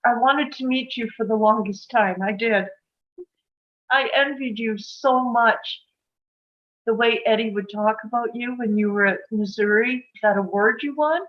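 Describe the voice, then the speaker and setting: A woman acting a wistful, lovelorn small-town woman in a table read, with an earnest, halting, emotional delivery. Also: a little high, muffled recording, close to an everyday voice. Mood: tearful, wistful.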